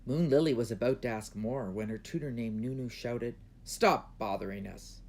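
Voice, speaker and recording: A man reading his own children's book aloud in expressive storybook narration. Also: mid-range voice, a little fast.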